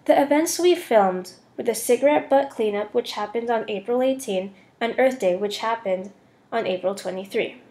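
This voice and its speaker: A woman's voice: fairly high pitch, moderate pace, a little mellow. A young woman with an American accent narrating a scripted class presentation as a student voice-over. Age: young adult.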